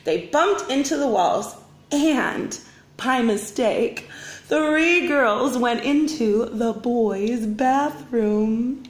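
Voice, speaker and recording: Lady teacher reading a kids book, slow n expressive, echoey room. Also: fairly high pitch. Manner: expressive, deliberate phrasing. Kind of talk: children's storybook narration. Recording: roomy, echoing indoor sound.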